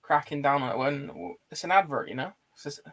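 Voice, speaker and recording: A man's voice: on the high side, fairly quick, fairly bright tone. A man with a British accent hosting an online film chat in a blunt, informal way, reading out comments. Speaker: host of an online film show.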